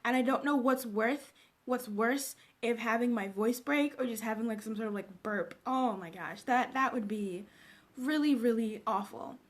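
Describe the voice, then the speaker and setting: Woman from Zambia, high voice, chatty livestream host, laughs a lot, greets viewers. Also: normal speed. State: just woke up. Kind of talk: casual live-stream chat with viewers.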